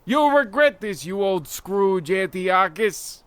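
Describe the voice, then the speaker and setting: A man's voice: high voice, expressive, takes it slowly, a little hiss or hum. A man playing a fretful, indignant tenant of an ancient tenement, flustered and melodramatic in a radio comedy parody. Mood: defiant. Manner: flustered, exclamatory, mock-melodramatic. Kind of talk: parody of old-time radio acting.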